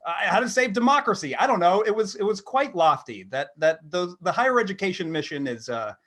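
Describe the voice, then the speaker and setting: A man in his thirties with a somewhat high voice. He talks like a comedy podcast co-host, wry and self-deprecating, with a halting, casual delivery. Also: lively intonation, a bit bright. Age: 30s. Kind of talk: casual comedic monologue and chat.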